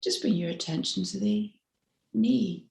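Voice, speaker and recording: A woman leads a guided meditation as a mindfulness teacher, giving soft, unhurried instructions. Her voice sits at a middle pitch with heavy vocal fry. The recording sounds noticeably echoey and roomy. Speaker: mindfulness teacher leading a body scan.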